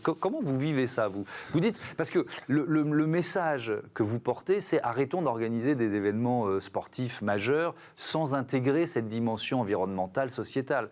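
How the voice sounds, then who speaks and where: Man, TV show host, mid-pitched, conversational, restarts sentences, studio. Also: expressive, normal speed, few pauses, a little mellow. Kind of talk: interviewing guests on air.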